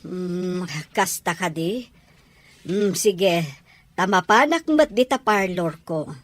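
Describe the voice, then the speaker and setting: A woman with an Ilocano accent playing a nosy, friendly neighbour, probing with drawn-out interjections in an audio drama. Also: lowish voice, no echo. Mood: curious.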